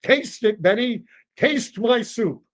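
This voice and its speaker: Man putting on a demanding old man, pitched way up. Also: very animated, measured pace. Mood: stubborn demand. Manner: insistent, repeats himself, demonstrative. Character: demanding, stubborn old male deli customer.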